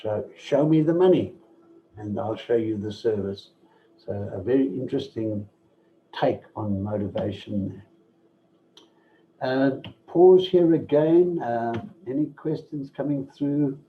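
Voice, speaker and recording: Male presenter, measured online lecture voice, average pitch, muffled low-fi call audio. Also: a little hiss or hum. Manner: measured, pauses with fillers, lists points. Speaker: masterclass presenter. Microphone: computer mic without headset.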